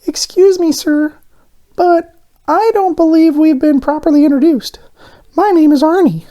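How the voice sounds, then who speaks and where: A man voicing an excitable, naive little talking doughnut from a children's storybook, with an earnest, exclamatory manner. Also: extremely high pitch, unhurried, voice pushed up. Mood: sweetly polite.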